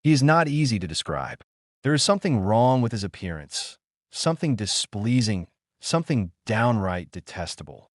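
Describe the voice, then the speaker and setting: A man voicing an uneasy gentleman in an audiobook, with a halting, emphatic manner full of distaste. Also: on the low side, no room sound, clean studio sound. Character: uneasy gentleman struggling to describe a repellent man.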